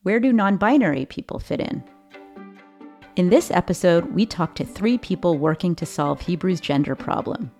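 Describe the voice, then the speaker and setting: Low-voiced woman narrating a podcast. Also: animated, normal speed, a little mellow, soft background music. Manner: clear, explanatory delivery with light rhetorical questions. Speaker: podcast host.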